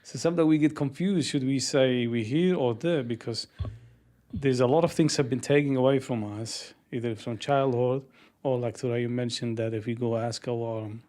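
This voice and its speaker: A man in a casual podcast conversation who speaks haltingly, often restarting his sentences. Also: mid-range voice, steady tone, warm tone.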